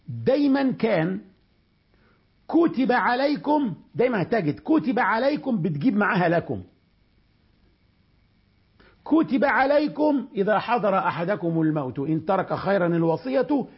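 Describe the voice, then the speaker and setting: A man with an Egyptian accent teaching a Quran commentary lesson, explaining verses in colloquial speech and reciting them slowly. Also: high-pitched, breathy edge. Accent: Egyptian Arabic. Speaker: religious teacher. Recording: dull lo-fi recording.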